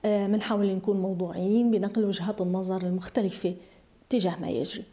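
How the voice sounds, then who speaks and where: A woman's voice: medium pitch, fairly even intonation, racing, warm tone. A middle-aged woman journalist with a Syrian Arabic accent, speaking in a documentary interview.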